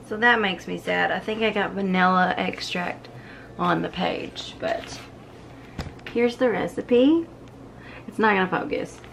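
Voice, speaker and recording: A woman's voice: medium pitch, gravelly, fairly bright tone, slight background noise. Chatty young woman cooking at home. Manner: chatty, upbeat, talks while cooking. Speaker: cooking vlogger. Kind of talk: casual cooking video. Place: home kitchen, indoors.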